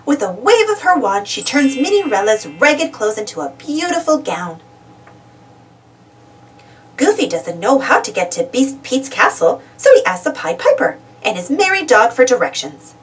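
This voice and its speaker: A woman reading a children's storybook aloud as an animated narrator. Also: high voice, moderate pace, slight background noise. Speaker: storybook reader. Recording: distant mic, music behind.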